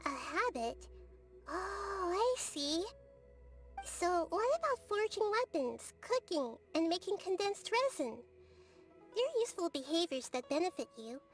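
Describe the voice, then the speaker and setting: A woman voicing a small, gentle, curious child deity in a video game, thoughtful and soft-spoken. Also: extremely high pitch, big pitch swings, measured pace, exaggerated voice. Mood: curious, earnest. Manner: soft-spoken, thoughtful, quizzical, speaks in animal analogies. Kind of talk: video game character dialogue. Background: music.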